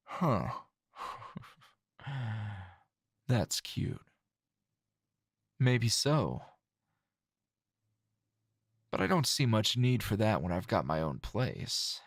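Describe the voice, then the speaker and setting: A man playing a weary, flirtatious male teacher in an intimate ASMR roleplay, with a languid, dry drawl full of sighs and pauses. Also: on the low side, very animated, breathy edge, no echo, heavily acted. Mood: teasing, soothing.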